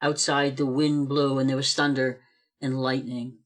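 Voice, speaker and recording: A man narrating an amateur radio play in a steady storytelling manner, recorded over a video call. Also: mid-range voice, unhurried. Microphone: home video call mic.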